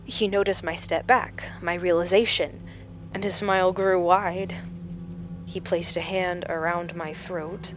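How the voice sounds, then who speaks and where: A woman acting in an audio drama as a shaken survivor recounting a supernatural encounter in slow, halting storytelling. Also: fairly low pitch, steady tone, noisy background, natural voice. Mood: dread, hushed intensity.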